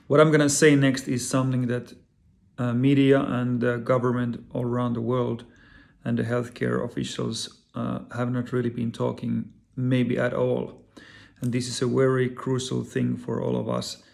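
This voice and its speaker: A man with a Finnish accent, his voice deeply gravelly and crackling at mid pitch. He speaks English as a second language, earnest and halting, often pausing on fillers and repeating words as he addresses his viewers directly. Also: darkish tone. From Finland. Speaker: vlogger. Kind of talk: personal direct-to-camera talk.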